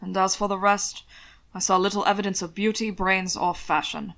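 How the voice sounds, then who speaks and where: A woman voicing a proud, disdainful, irritable gentleman in a period audiobook, curt and snappish. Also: mid-range voice, steady tone, normal speed, gravelly. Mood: disdainful muttering. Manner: muttering, snappish, haughty.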